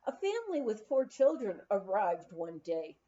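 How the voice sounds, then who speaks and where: A woman narrating a memoir as an audiobook reader, in an expressive storytelling manner on a slightly dull recording. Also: mid-range voice, moderate pace.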